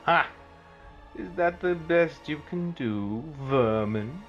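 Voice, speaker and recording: Slow, menacing evil sorcerer, wildly swooping. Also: male voice, on the high side, expressive. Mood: sneering. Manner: theatrical, drawn-out, sneering delivery. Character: menacing, mocking, grandiose male evil sorcerer. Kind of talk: fan audio drama villain acting. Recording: dull, muffled recording with music behind.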